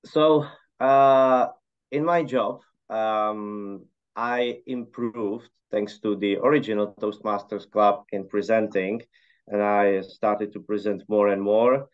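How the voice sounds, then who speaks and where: Low-voiced man on a dull line. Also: slow. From Czech Republic. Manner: relaxed, hesitant with many fillers. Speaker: interview guest. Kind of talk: casual conversational answers.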